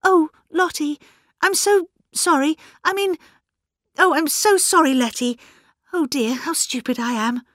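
A woman's voice: sits high, very animated, takes it slowly, long pauses, a bit bright, no echo, studio quality, voice pushed up, full character voice. A woman voicing a flustered, apologetic older female companion who chatters and dithers in a storybook reading. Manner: breathless, dithering, rambling.

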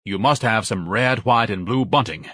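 A man's voice: fairly low pitch, moderate pace, pushed and bright, breathy edge, dry recording, studio quality. A man voicing a pompous, bombastic scholar with a solemn, orator-like way of talking and florid diction, in a storybook narration. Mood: stern. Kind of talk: audiobook character acting.

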